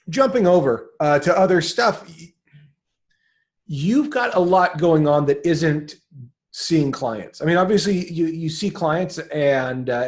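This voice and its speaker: Male interviewer, slightly high register, casual and conversational, feels out each question aloud. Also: a little mellow.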